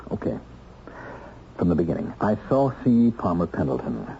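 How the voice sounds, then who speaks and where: Earnest, low-voiced ex-convict. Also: male voice, big pitch swings, moderate pace, gravelly, heavily acted. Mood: earnest, intent. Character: earnest, wry ex-convict husband, hunting for the truth. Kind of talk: radio drama acting. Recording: dull, noisy old radio recording.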